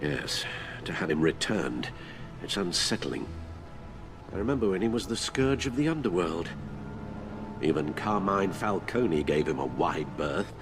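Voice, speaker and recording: An actor playing a loyal older butler in a video game, formal and dryly witty, a fretful caretaker. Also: male voice, sits low, animated, a little slow. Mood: concerned, wry. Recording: music behind the voice.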